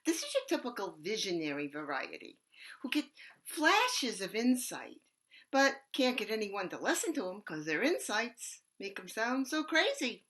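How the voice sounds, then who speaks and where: An actress performing a stage monologue as an eccentric bag lady with a raspy voice, talking loudly and cackling, then dropping to hushed asides. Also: a little high, big pitch swings, normal speed. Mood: manic. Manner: loud, cackling, swings between shouting and hushed asides.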